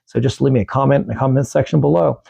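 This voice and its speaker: A middle-aged man chats casually in a collector's vlog, wandering off topic and circling back. His voice is gravelly, dark and worn from losing it, recorded close and dry with no room sound. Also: mid-range voice, fairly even intonation, fast, no room sound. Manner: rambling, self-correcting, friendly sign-offs. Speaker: comic book collecting YouTuber. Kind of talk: casual vlog talk to camera.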